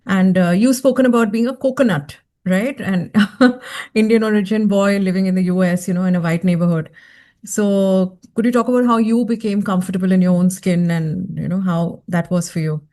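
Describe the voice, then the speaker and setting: A woman's voice: medium pitch, steady tone, normal speed, mellow. A woman hosting a podcast interview, easygoing and chatty with many fillers. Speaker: podcast host. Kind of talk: casual interview chat.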